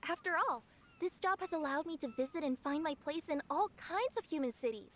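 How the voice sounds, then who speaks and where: High-pitched perky cat-girl courier. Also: fairly quick. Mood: earnest. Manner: chatty, expressive. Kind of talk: video game voice acting.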